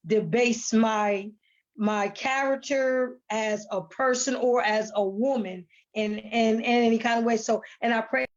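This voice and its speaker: An American woman with a fairly high voice speaks casually as a guest on a video call. She is earnest and repeats herself for emphasis. The sound is somewhat dull. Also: steady tone, unhurried. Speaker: stage play cast member as guest. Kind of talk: casual conversational interview. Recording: dull online call audio.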